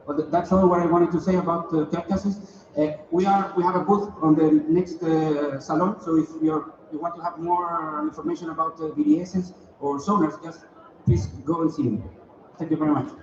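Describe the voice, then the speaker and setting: A man's voice: a little high, steady tone, moderate pace, darkish tone. Chilean man presenting from far in a hall. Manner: hesitant, repeats words while explaining slides. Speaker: sonar industry presenter. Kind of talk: conference presentation. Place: large conference hall. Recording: distant echoey hall, dull and noisy.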